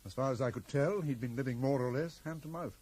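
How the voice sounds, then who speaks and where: An actor playing a middle-aged, very correct British professor in a radio drama, guarded, brusque and easily affronted. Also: male voice, medium pitch, fast, darkish tone, breathy edge, close to an everyday voice. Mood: dry, reserved. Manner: stiff, formal, brusque. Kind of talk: 1980s radio drama acting. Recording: faintly noisy 1980s radio broadcast.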